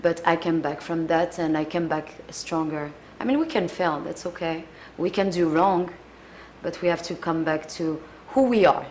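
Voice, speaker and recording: A young adult woman with a French accent, a singer answering interview questions in a casual, earnest way, often pausing to search for words. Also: lowish voice, darkish tone, slight background noise. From France.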